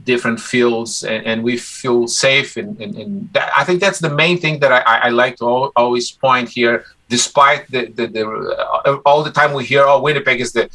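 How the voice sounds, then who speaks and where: A man from Brazil, Portuguese his first language, talks in English with a bright, clear tone. He is relaxed and chatty, often restarting his sentences, and laughs easily as he tells his story in a casual interview. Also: medium pitch, normal speed, few pauses, rough recording. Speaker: interview guest, musician.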